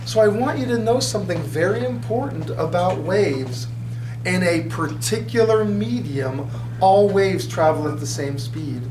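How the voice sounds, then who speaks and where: A middle-aged man teaching a high school physics class, chatting with students and often checking they follow. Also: animated, measured pace. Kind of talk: classroom lecture. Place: classroom at a whiteboard. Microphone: far camera. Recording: echoey classroom from far off with hum.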